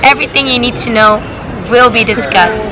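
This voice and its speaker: A woman's voice: on the high side, moderate pace, crowd noise, poor audio. A young woman from the Netherlands and Thailand hosting a casual chat and moving the talk along with questions. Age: young adult. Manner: friendly, asks questions and moves the talk along. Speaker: host. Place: outdoors on a busy city street. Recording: outdoor street noise and traffic.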